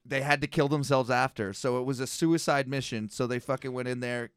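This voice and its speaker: Young north american dude on a comedy podcast, smooth clear voice, rambles and swears, dry close sound. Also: male voice, mid-range voice, steady tone, fairly quick, no echo. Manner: rambling, profane storytelling, laughs often. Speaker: podcast co-host. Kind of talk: casual comedic chat.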